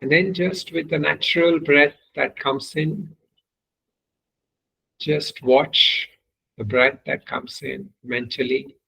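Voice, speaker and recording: A man leading a guided meditation as a teacher, giving measured, unhurried instructions with long pauses. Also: a little high, steady tone, vocal fry, sharp tone, slight background noise, low-quality recording.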